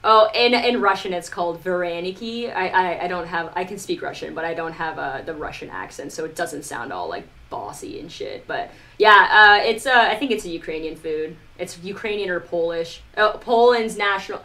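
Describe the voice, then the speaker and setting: A woman's voice: on the low side, expressive, moderate pace, hardly any pauses, bright, forceful tone. A woman live streamer talking casually to her viewers, rambling informally with lots of "like" and some mild swearing. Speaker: live streamer, music producer.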